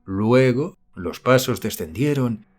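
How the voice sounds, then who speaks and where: Guy narrating a horror audiobook, medium pitch, measured storytelling style. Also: animated. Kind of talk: scripted narration of a horror novel.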